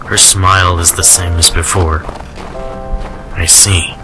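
A man playing a reserved, melancholy man in a radio drama, speaking in a subdued, measured way. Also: sits low, flat delivery, poor audio. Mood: wistful. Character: reserved, melancholy man musing on lost love. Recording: music behind the voice.

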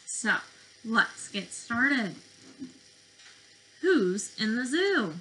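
A woman in her twenties, a speech therapist, reading to preschoolers in an animated, questioning way, recorded on a webcam in a bedroom. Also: fairly high pitch, very slow, poor audio. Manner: animated, questioning delivery for young children. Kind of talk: interactive children's read-aloud lesson. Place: small bedroom indoors.

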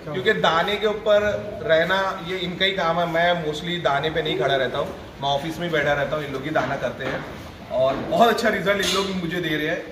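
Chatty high-voiced man in an echoey shed. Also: fairly quick. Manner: chatty, coaxing others to join in. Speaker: goat farm owner and vlogger. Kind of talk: casual vlog chat. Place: covered goat shed at night, outdoors. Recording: echoey shed with loud background noise.

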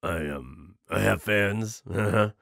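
Man playing a gravelly, cocky male werewolf. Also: lowish voice, takes it slowly, a bit bright, no echo, clean studio sound, exaggerated voice. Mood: nervous, sarcastic. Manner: mocking, hesitant asides with chuckles. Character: cocky, sarcastic male werewolf outlaw, nervously flippant. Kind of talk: audio roleplay acting.

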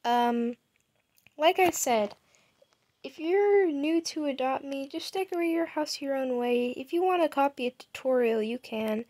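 A woman's voice: high-pitched, expressive, a little slow, a little mellow, no room sound, studio quality. A woman giving a chatty, rambling tour of her game house, narrating over her screen as a gaming YouTuber. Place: home setup.

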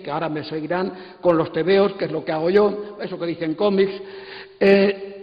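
An older man giving an impassioned, emphatic, digressive talk on a conference stage into a handheld microphone. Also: high voice. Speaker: panel speaker, comics artist. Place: auditorium stage. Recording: echoey hall PA, muffled.

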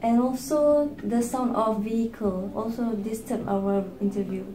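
A woman's voice: fairly high pitch, steady tone, unhurried. A young woman, hesitant and searching for words in a student discussion. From Malaysia. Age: young adult. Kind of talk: student group reflection discussion. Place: indoor meeting room at a table. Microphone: far camera. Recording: echoey room with faint noise.

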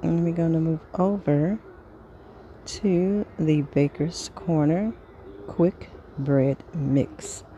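A woman casually reading through a sales flyer item by item, pausing between phrases in an unhurried way. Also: sits low, steady tone, warm tone, muffled recording, a little hiss or hum. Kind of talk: casual product read-through.

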